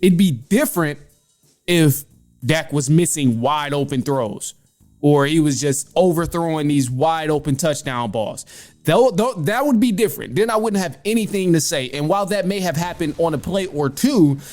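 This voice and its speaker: Guy doing casual sports podcast talk, opinionated, higher-ish voice, faint music behind him. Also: animated, normal speed, darkish tone. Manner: informal, opinionated, mild swearing.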